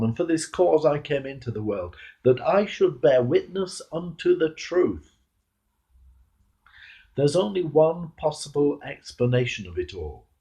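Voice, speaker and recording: A man, a clergyman, reading a devotional address in a measured, solemn manner with dramatic emphasis. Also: on the high side, gravelly, mellow. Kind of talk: read devotional sermon address.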